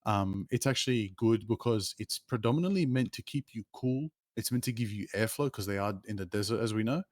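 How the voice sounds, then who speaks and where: A young man chatting casually on a stream, animated and quick to laugh. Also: lowish voice, no echo, studio quality. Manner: animated, laughs often, says bro a lot. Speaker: streamer guest. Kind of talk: casual chat.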